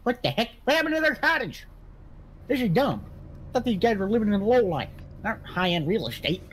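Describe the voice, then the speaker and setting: A man playing an excitable, grumbling, bumbling Southern county sheriff in comic game roleplay, full of folksy griping. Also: high-pitched, brisk, very noisy. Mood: fussing. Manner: folksy griping, mild cussing.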